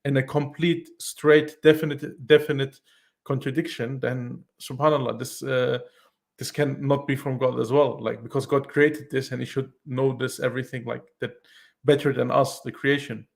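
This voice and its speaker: A man explaining earnestly on a call-in talk show. He speaks in halting bursts with many restarts. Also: mid-range voice, fairly even intonation, creaky. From Iran, raised in Germany. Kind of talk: casual explanatory chat.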